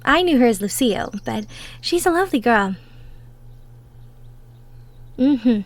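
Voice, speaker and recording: A woman playing a cheerful, gentle young woman from the woods in an intimate roleplay audio drama, with a sweet, folksy manner. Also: on the high side, lively intonation, unhurried. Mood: friendly, curious.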